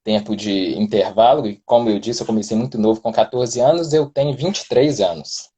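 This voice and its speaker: A man's voice: on the low side, a little fast, dark tone, slightly rough. A Brazilian man, a criminal defense lawyer, talking as a guest in a casual live-stream interview over a phone, wordy and self-deprecating with jokes.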